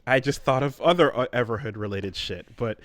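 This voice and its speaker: A man co-hosting a podcast, talking casually and analytically, often halting and repeating words as he thinks. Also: medium pitch, a bit bright, dry recording. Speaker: podcast co-host. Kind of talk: casual analytical chat about stories in games.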